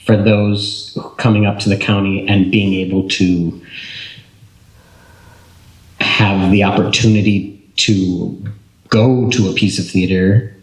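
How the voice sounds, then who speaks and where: A man's voice: deep voice, fairly even intonation, slow pace, pauses often. A man speaking as an actor on a panel, thoughtful and searching for his words, with light laughs. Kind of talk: reflective panel talk. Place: public panel event room. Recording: roomy echo.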